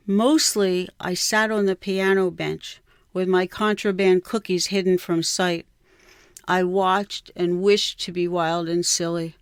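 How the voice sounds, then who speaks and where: A woman reading a scripted personal memoir in a measured, reflective way. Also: lowish voice, smooth, no echo, studio quality. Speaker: writer reading own piece. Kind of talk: scripted literary memoir narration.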